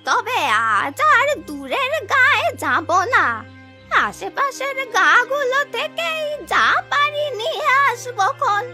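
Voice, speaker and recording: A woman voicing a chiding, folksy old village grandmother in an animated folk tale. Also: very high voice, slow, faint music behind, full character voice. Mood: weary, purposeful. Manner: chiding, folksy, proverb-quoting. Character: scolding old village grandmother who begs for food.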